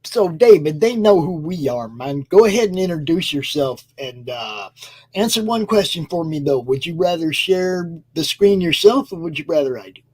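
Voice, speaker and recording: A man hosting a live stream talk show, chatting casually and laughing loudly. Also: on the high side, expressive, normal speed, slightly rough. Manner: loud, laughing, playful. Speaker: show host.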